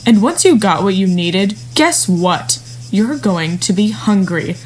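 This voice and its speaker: Woman playing a slow-talking, scheming hungry runaway. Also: mid-range voice, animated, slight background noise, lightly acted, low-quality recording. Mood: biting, defensive. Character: scheming, starving woman runaway hunting a horse to eat. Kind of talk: live-streamed audio drama acting.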